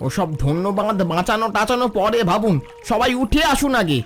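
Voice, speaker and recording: A man's voice: high-pitched, lively intonation, a little fast, fairly bright tone, faint music behind. A man playing a brave, selfless village father and riverman in a cartoon, firm and urgent. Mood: firm, reassuring. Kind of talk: cartoon dialogue.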